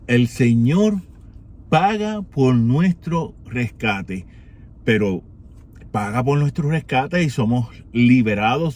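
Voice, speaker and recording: Slow-spoken man preaching. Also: mid-range voice, a little mellow. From Puerto Rico. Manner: unhurried, pausing, frequent rhetorical questions. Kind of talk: morning prayer reflection.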